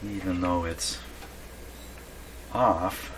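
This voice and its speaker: A man giving casual hands-on repair narration, halting and thinking aloud to himself as he works. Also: low voice, steady tone, a bit bright. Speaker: host of a radio repair channel. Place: indoors at a home workshop. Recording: clearly noisy room recording.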